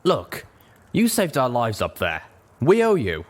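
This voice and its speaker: A man's voice: mid-range voice, wildly swooping pitch, a little slow, pitched above the performer's own voice. A man voicing a grateful, brash female griffin gunner in a story reading.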